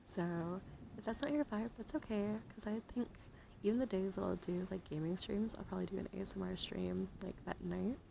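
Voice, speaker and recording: Woman, ASMR streamer: soft, crackly vocal fry, whisper-prone, soothing and encouraging, close mic with noticeable background noise. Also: mid-range voice, fairly quick. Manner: soft-spoken, often dropping into whispers. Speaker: livestreamer hosting an ASMR card-showing stream. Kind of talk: ASMR, casual livestream chat. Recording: clearly audible background noise.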